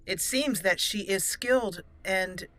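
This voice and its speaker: A woman doing let's play commentary on a fantasy game, slipping into formal, deliberate in-character speech. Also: mid-range voice, fairly bright tone.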